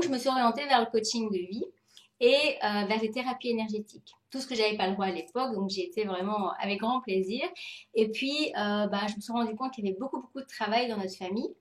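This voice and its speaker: French woman in an echoey room. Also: medium pitch. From France. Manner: open, thoughtful, with many fillers. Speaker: interview guest. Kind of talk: personal life story in conversation.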